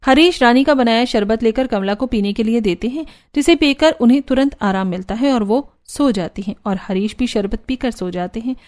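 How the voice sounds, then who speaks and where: High-pitched woman narrating a story. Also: normal speed, hardly any pauses, a little mellow. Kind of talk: scripted cartoon story narration.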